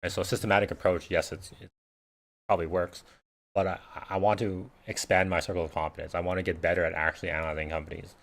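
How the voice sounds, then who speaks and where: A man's voice: deep voice, level tone, fast talker, creaky. A man whose first language is Cantonese, hosting a casual livestream Q&A and talking in a rambling way with lots of fillers and restarts. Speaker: investing livestream host. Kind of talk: casual live Q&A chat.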